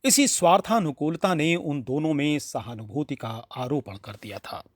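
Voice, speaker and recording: A man narrating a classic short story in an expressive, dramatic audiobook style with deliberate phrasing. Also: a little high, no echo.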